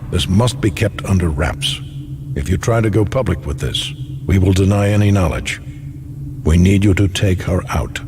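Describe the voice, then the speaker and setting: An actor playing a secretive old man of influence, speaking in a formal, measured, courteous manner. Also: low voice. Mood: grave, conspiratorial. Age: older. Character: old, formal, secretive male power broker. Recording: noisy background ambience.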